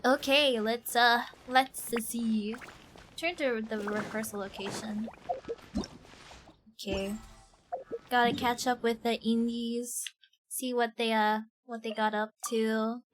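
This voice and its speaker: A woman's voice: a little high, steady tone, takes it slowly, long pauses, a little hiss or hum. A young woman from Ireland chatting casually as she streams a game, informal and slangy, often self-deprecating. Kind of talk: casual live stream chat. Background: game music.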